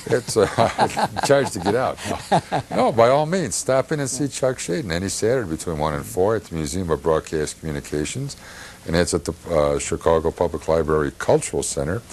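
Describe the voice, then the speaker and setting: A man hosting a casual interview show, talking in a halting way and repeating words. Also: low-pitched, animated, a little mellow, a little hiss or hum. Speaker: TV show host. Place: television studio.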